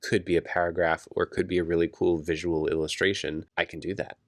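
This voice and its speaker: A man co-hosting a casual late-night podcast at home, talking softly and adding caveats, a bit congested. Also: low voice, level tone, brisk, no room sound, clean studio sound. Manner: quiet and hedging, laughs easily. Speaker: podcast co-host. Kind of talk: casual chat.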